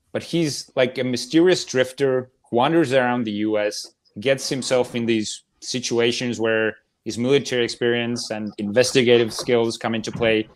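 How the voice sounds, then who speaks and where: A man chatting casually and enthusiastically as a podcast panelist, with informal wording and some mild swearing. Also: mid-range voice. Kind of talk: casual chat about films and TV shows.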